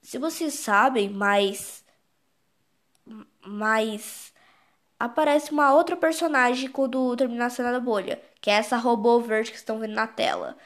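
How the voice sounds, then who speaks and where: High-voiced Brazilian woman rambling through recap. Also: a little slow. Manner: rambling, repetitive, searching for words. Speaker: video creator explaining own animated horror episode. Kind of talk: casual unscripted recap commentary.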